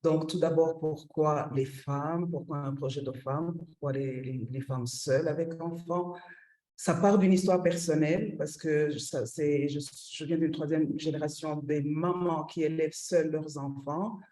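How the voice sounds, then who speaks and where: Hesitant, mellow-voiced woman from Rwanda. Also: low voice, steady tone, a little slow. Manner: hesitant, searching for words with many repeated syllables. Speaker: founder of a women's project. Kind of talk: project pitch presentation.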